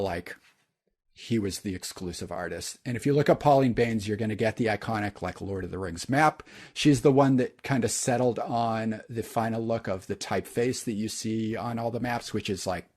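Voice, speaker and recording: A man with a deep voice, co-hosting a podcast in a rambling, enthusiastic casual chat. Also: a little mellow. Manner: rambling, enthusiastic, many fillers. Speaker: podcast co-host.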